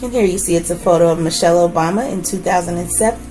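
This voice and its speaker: A woman reading a children's book aloud as host, with expressive, deliberate phrasing and brief asides. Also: fairly low pitch, faint music behind, low-quality recording.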